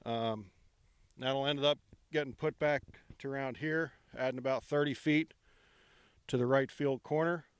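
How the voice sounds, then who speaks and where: A middle-aged man giving a relaxed walking tour of an outdoor construction site, speaking into a handheld microphone with many ums. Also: medium pitch, measured pace, clean tone, no room sound, studio quality.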